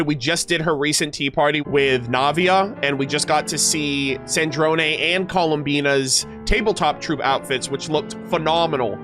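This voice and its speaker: A man hosting a gaming video, chatting casually and enthusiastically as he reacts to game lore and reads it aloud. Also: fairly high pitch. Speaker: game reaction content creator and host. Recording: music playing behind the voice. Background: guitar and instrumental music.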